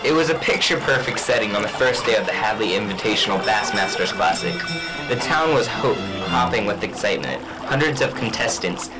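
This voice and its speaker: A man playing the dramatic narrator of a spoof radio thriller, reading a script over loud music. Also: on the low side, animated, a little fast, natural voice, poor audio.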